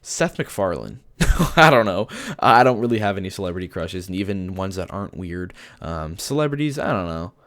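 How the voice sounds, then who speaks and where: A man's voice: sits low, lively intonation, normal speed, a bit bright. A young man from Canada with a lisp, hosting a casual gaming-channel Q&A from his bedroom, joking and laughing as he answers. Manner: chatty, joking, laughs often. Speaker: YouTube gaming channel host. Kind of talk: casual Q&A vlog.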